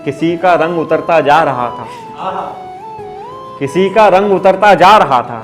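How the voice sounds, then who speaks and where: Male poet, slightly high voice, declaiming ghazal couplets live, repeating lines with growing force, clear instrumental backing. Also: slow pace, over music. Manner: declaiming, repeats each couplet with rising emphasis. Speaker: poet at an open mic. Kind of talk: ghazal recitation. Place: open mic stage in Mumbai. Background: musical instrument playing along.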